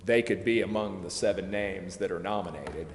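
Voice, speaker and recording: A man with a deep voice explains procedure to a council in an informal, hedging way. He speaks in a roomy, echoing chamber with some noise behind him. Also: moderate pace. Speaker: legal adviser to a city council. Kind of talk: procedural explanation in a public meeting. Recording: echoey, reverberant council chamber with background noise.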